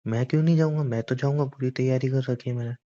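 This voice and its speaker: A man's voice: mid-range voice, steady tone, quick pace, warm tone, lightly acted. A man playing a stubborn, teasing young boyfriend in a romantic phone-call roleplay, argumentative and coaxing in casual conversation. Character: stubborn, teasing young boyfriend defending his boys' trip. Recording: dull lo-fi phone call recording.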